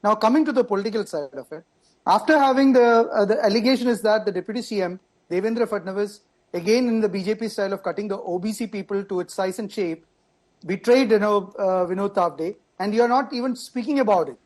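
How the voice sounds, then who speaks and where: A man's voice: sits high, fast, darkish tone. A party spokesperson with an Indian English accent, arguing insistently on a TV panel debate and repeating words as he presses his point.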